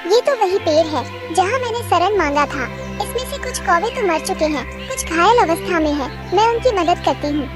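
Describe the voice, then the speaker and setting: A woman voicing a small, gentle, pious female bird with a polite, pleading manner in a children's cartoon story. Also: very high voice, animated, moderate pace, hardly any pauses. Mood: kind, concerned. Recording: loud music behind.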